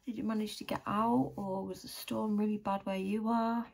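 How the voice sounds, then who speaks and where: A British woman in her thirties with a deep, croaky, tired voice, chatting casually and thinking aloud to camera as she vlogs at home. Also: level tone, moderate pace. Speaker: vlogger. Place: small room at home, indoors.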